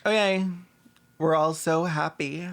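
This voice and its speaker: A man's voice: fairly high pitch, takes it slowly, fairly bright tone, smooth. A man narrating scripted comedic commentary in a wry, sarcastic manner. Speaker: video essay narrator.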